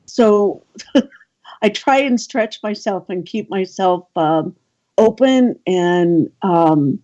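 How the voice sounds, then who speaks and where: A woman guest on a radio panel, talking casually with many pauses and ums. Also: mid-range voice, mellow, highs cut off. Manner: hesitant, many ums, laughs often.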